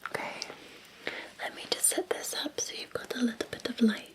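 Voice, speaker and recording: A Scottish woman playing a gentle, attentive Victorian housemaid in an ASMR roleplay, in a soft, breathy, soothing whisper with polite deference. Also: moderate pace, lightly acted. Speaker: ASMR performer.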